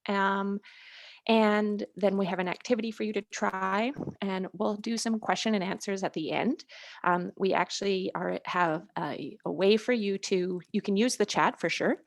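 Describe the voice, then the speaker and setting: A woman giving an informal online presentation, thinking aloud as she goes in a halting way. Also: medium pitch, steady tone, vocal fry, no room sound. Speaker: presenter, ocean education coordinator. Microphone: video call.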